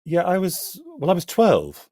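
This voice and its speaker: Male academic guest, middle-aged, thoughtful and halting, very dry recording. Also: high voice, huge pitch swings, a little mellow, dry recording. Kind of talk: casual reminiscing and discussion.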